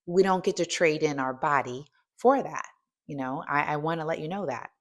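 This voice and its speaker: A woman's voice: deep voice, normal speed. A woman in her fifties giving a motivational coaching talk, emphatic and asking the listener direct questions. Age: 50s. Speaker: strength coach and host.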